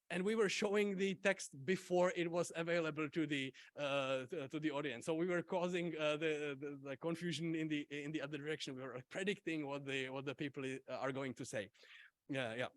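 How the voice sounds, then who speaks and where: Czech guy giving a tech talk, lots of uhs and restarts, slightly high voice, dry sound. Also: dry recording. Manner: halting, many fillers and restarts. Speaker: invited conference speaker. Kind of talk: academic conference talk.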